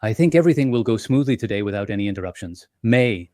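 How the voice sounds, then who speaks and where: A man hosting an investing channel, talking in a gentle, reassuring and slightly apologetic way to his members, with small fillers as he thinks aloud. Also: on the low side, expressive, fast, no room sound, studio quality. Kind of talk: casual live-stream talk to members.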